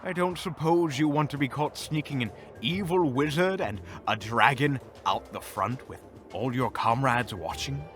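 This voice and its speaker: A man's voice: medium pitch, moderate pace, fairly bright tone, faint music behind, natural voice. A man playing a pompous, sarcastic, grumpy evil wizard in a melodramatic fantasy audio roleplay. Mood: dry sarcasm. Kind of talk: fan audio roleplay acting.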